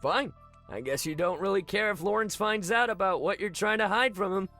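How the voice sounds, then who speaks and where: A man's voice: high voice, brisk, pushed and bright, no room sound, soft background music. A man voicing a stubborn, scheming teenage boy with pointed, needling delivery. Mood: smug, threatening.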